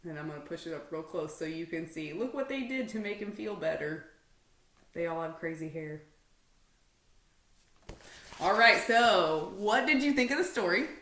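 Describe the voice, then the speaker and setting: Animated woman reading in an echoey room. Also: fairly low pitch, moderate pace, pauses often. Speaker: teacher reading aloud to pupils. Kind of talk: expressive children's storybook reading. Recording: roomy indoor echo.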